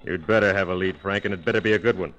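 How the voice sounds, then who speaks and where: A man's voice: deep voice, rapid-fire, a bit bright, muffled recording. A man with an American accent playing a brusque, harried police inspector, clipped and authoritative, in a 1940s radio drama. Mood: stern, urgent.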